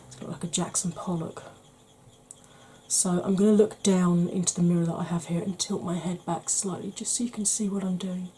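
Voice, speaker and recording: A woman from the UK, a beauty vlogger talking through a makeup tutorial in a quiet voice that keeps slipping into whispers. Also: fairly low pitch, steady tone, moderate pace, slight background noise. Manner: quiet, soft-spoken, drifting into whispers.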